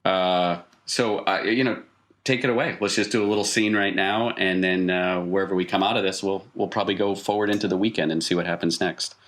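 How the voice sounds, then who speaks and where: A man with a low voice acts as game master at a tabletop session. He talks conversationally, setting out scenes and putting questions to the players. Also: fairly even intonation, a little fast, a bit bright. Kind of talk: improvised tabletop game narration.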